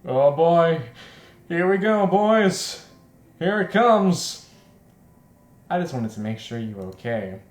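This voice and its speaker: A man reading a visual novel aloud as a let's player, breaking in with loud, repetitive joking reactions. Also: fairly high pitch, expressive, slow, long pauses. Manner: exclaims loudly and repeats himself in reaction. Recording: roomy echo, faint background noise.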